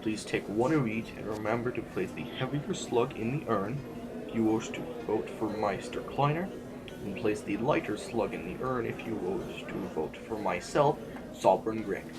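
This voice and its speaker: A man's voice: lowish voice, lightly acted, rough recording. Man acting a stern, formal sovereign over music. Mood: formal, composed. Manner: formal, measured oratory. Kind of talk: audio drama acting.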